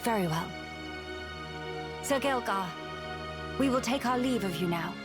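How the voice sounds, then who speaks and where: An actress playing a dignified young empress in a video game, firm and gracious, with regal, formal phrasing. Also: female voice, fairly high pitch, lively intonation, a little slow, over loud music. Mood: composed, questioning.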